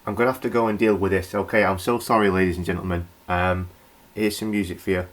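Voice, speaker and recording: A man plays a nervous British local radio host in a comedy horror radio play. His voice sits fairly low, and he stumbles and rambles apologetically as he tries to keep control. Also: fairly even intonation, fast talker, natural voice. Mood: annoyed. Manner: hesitant, rambling, stammering.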